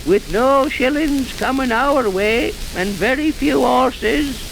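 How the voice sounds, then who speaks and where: Grumbling old English country blacksmith, creaky voice. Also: male voice, very high voice, takes it slowly, exaggerated voice. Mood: aggrieved, grumbling. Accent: rural English. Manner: folksy, grumbling, repeats himself for emphasis. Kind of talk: old-time radio drama acting. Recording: noisy, hissy, crackling old radio recording.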